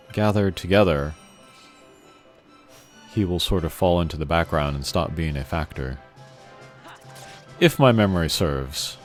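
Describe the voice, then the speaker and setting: A man with a low voice chats over a video game. His commentary is casual, reflective and a bit rambling. Faint music plays in the background.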